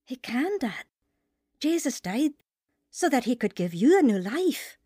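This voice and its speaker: A woman's voice: high-pitched, big pitch swings, unhurried, dark tone, breathy edge, no room sound, studio quality, heavily acted. A woman playing an earnest, devout mother telling her life story in a sincere radio drama style. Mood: warm conviction. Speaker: lead actor and narrator.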